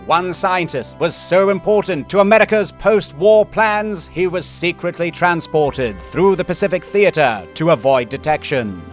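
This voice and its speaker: An actor playing a dramatic announcer who introduces a wartime commando tale in grave scripted narration. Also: male voice, a little high, lively intonation, normal speed, few pauses, faint music behind, natural voice.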